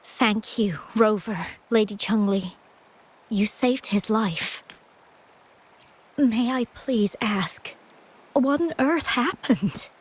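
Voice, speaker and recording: An actress voicing a gentle, anxious, ailing young woman in a video game, speaking softly and politely with hesitant stammers. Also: a little high, takes it slowly, long pauses, slightly rough, rough recording. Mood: shaken, polite.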